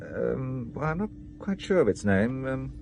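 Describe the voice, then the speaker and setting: A man's voice: mid-range voice, sing-song, unhurried, creaky, a little mellow, slight background noise. An actor playing a polite, slightly hesitant middle-aged English businessman in an audio drama. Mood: courteous.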